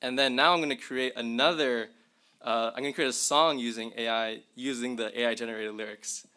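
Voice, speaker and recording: A man's voice: mid-range voice, fairly even intonation, fairly quick, pushed and bright, clear, resonant tone. A young man presenting at a symposium, casually chatting to the audience while demonstrating tools live. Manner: chatty, addresses audience directly. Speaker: tech presenter. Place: university lecture hall.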